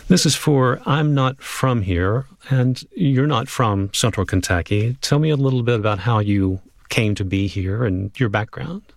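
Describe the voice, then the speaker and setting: A man's voice: mid-range voice, a little slow, gravelly, few pauses, dry recording. A male radio host asking brief, polite interview questions.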